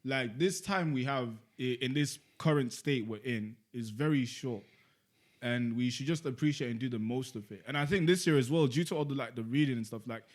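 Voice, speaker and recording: A man's voice: medium pitch, brisk. A young man, a podcast co-host talking in casual chat, reflective and rambling, with lots of "like" and "you get me". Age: young adult.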